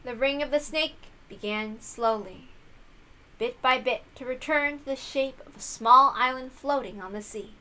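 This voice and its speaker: High, bright-voiced woman narrating. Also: unhurried, rough recording. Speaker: reader of a visual novel. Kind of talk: dramatic scripted narration.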